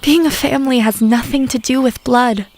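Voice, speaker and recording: A woman playing an earnest, loyal confidant who gives measured, formally worded counsel in an audio drama. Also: high-pitched, fairly even intonation, a little mellow, no room sound.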